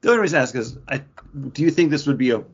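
A man co-hosting a podcast, talking casually about comics with a husky voice. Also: on the high side, expressive, rapid-fire. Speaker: podcast co-host. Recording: dull, lo-fi sound.

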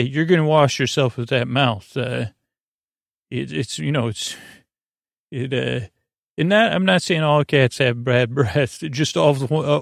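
A man hosting a bedtime podcast in a gravelly, creaky voice, meandering and halting as he drifts off on tangents. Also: medium pitch, no room sound, studio quality. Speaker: podcast host. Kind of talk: rambling bedtime podcast monologue.

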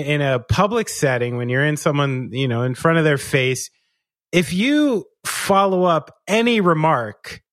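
A New man, chatting casually as a podcast co-host. His voice sits slightly high and the sound is close and dry, with no room in it. He wanders through his stories and swears freely. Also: fairly bright tone, no room sound. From New Jersey. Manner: rambling, profane storytelling. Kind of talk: casual chat.

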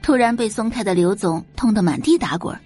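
A woman's voice: mid-range voice, lively intonation, fairly quick, dark tone, husky, faint music behind. A woman reading scripted, dramatic audiobook narration as the narrator of a story.

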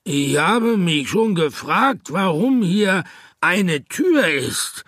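A man voicing a huge, very old, tired bear for a children's audiobook, with a rough, weary voice and a muddled, grumbling manner. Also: sits high, animated, fairly bright tone, no echo, clean studio sound, voice pushed up, heavily acted. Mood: slow, sleepy. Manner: slow, drawled, muddled.

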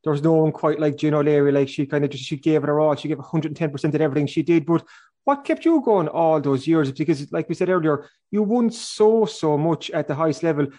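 A man's voice: fairly high pitch, brisk, warm tone. A man with an Irish accent hosting a sports interview, repeating phrases as he builds long, conversational questions. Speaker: sports podcast host.

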